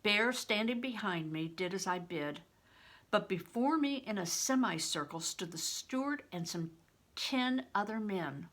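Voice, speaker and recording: An older woman, a grandmother, reading a storybook aloud in a deliberate, phrase-by-phrase way. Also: medium pitch, lively intonation, a bit bright. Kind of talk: storybook narration with plain spoken asides.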